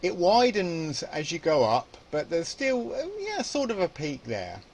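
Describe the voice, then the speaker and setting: A man's voice: a little high, huge pitch swings, a little slow, gravelly, slight background noise. A man presenting an informal hands-on electronics tutorial, explaining casually and thinking aloud as he goes. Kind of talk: informal spoken tutorial demonstration.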